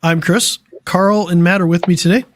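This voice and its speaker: A man hosting a casual tech podcast, tired and dealing with allergies, talking in a loose, relaxed way. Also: high-pitched, moderate pace, no echo, studio quality. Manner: relaxed, loose, many fillers. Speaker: podcast host. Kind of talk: casual chat.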